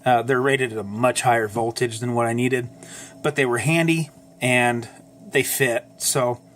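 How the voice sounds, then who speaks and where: A man's voice: mid-range voice, steady tone, a bit bright, slight background noise. A man talks through his repair of an old tube radio at an easy pace, stopping often for ums and uhs and fixing his own words as he goes. His breathing has a slight wheeze from asthma. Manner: halting, many ums and uhs, corrects himself. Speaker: amateur radio operator restoring an old radio. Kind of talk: casual how-to explainer.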